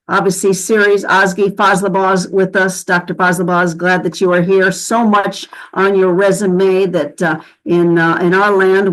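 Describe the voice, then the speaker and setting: A woman hosting a conversational interview, speaking in halting, fragmented phrases. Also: medium pitch, low-quality recording. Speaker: interview host.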